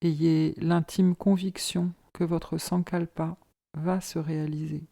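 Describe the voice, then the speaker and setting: A woman leading a guided yoga relaxation as an instructor, in a calm, measured, soothing manner. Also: sits low, creaky.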